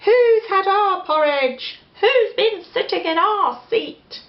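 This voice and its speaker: A woman's voice: very high voice, expressive, slow, voice pushed up, full character voice. A woman with an English accent voicing an indignant bear from a children's storybook, exclaiming in protest. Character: indignant bears finding an intruder, including Baby Bear. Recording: echoey room, slightly dull sound.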